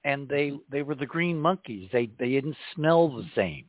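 A man's voice: medium pitch, lively intonation, moderate pace, dry recording. Male talk-show host, eager questioner who cuts in, heard over a tinny phone line. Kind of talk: casual interview chat.

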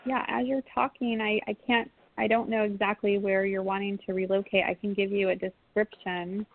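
A woman in her forties, a psychic medium guest giving live call-in readings in a chatty way, often pausing on fillers. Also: medium pitch, little pitch movement. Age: 40s. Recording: tinny phone line.

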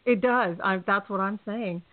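A woman's voice: mid-range voice, moderate pace, a little hiss or hum. Chatty Californian woman host on a tinny line. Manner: chatty, rambling, laughs often. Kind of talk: casual podcast chat. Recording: tinny phone-line audio.

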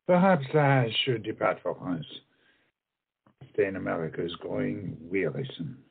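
Man doing proud weary french gallant, gravelly, formal, melancholy, muffled play audio. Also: low voice, expressive, normal speed, heavily acted. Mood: weary, resolved. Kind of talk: stage comedy acting.

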